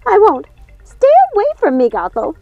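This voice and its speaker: A woman voicing a flighty, excitable and fretful witch sister in a dramatic audiobook, frantic and exclamatory. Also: sits high, normal speed, vocal fry, no echo, dull audio, soft background music, voice pushed up, heavily acted. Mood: panicked screaming.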